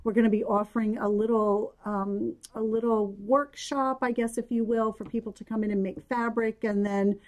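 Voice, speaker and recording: A New woman, slightly hoarse, co-hosting a casual chat about her yarn shop, rambling along with fillers. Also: a little high, level tone, moderate pace, few pauses, darkish tone. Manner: chatty, rambling with fillers and laughs. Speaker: yarn shop owner and podcast co-host.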